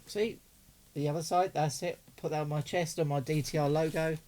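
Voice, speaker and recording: A man's voice: on the high side, fairly even intonation, moderate pace, darkish tone, slight background noise. An enthusiastic, chatty man, giving casual unboxing commentary as a hobbyist video host.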